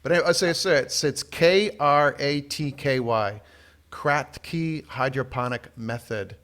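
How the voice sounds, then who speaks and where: A man's voice: mid-range voice, measured pace, a bit bright. A man hosting a podcast in casual chat, curious, asking questions and reading bits from the web. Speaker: podcast host.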